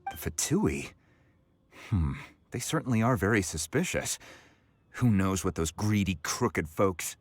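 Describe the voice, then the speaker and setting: A man's voice: sits low, big pitch swings, unhurried, no echo, exaggerated voice. An actor playing a gloomy, suspicious townsman in a video game, brooding as he talks. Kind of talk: video game character acting. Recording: music behind the voice.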